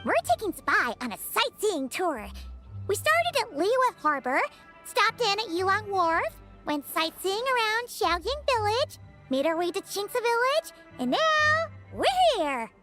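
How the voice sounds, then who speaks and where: Very squeaky, excitable little fairy girl. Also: a little slow, over music, full character voice. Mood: cheerful. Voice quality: squeaky, childlike. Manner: exclamatory, bubbly. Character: tiny excitable childlike female fairy companion, talks in third person. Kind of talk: video game voice acting.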